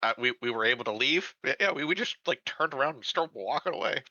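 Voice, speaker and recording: A man playing a tabletop game, chatting casually and haltingly, often repeating his words. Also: mid-range voice, expressive, sharp tone, no echo, highs cut off. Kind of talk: casual chat during a roleplaying game.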